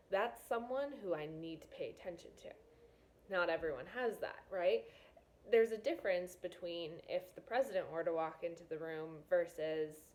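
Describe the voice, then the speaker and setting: A woman's voice: on the low side, a little mellow. A woman teacher reading a novel aloud to students, with casual, encouraging asides. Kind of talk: read-aloud of a children's novel with explanatory asides.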